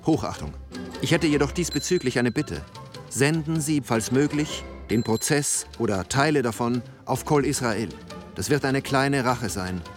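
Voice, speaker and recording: A man's voice: medium pitch, animated, moderate pace, faint music behind, lightly acted. An actor playing a gratified radio listener who reads his letter aloud in a courteous, stiffly formal way.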